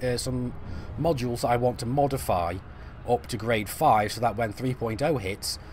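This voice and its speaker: A man's voice: medium pitch, slight background noise. A middle-aged man, chatting casually on a live stream while he plays, reading and answering viewer messages with lots of fillers and restarts. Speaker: game streamer.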